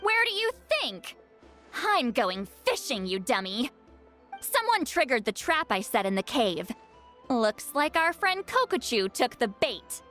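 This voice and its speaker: A woman playing a proud, sharp-tongued high priestess who flusters easily, in a video game. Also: very animated, a little slow, faint music behind, full character voice. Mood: smug, brisk. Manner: bossy, brash, theatrical. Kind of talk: video game cutscene voice acting.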